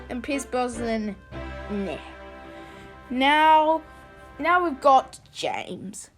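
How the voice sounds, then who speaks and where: A video host giving informal, opinionated commentary in a casual style. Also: medium pitch.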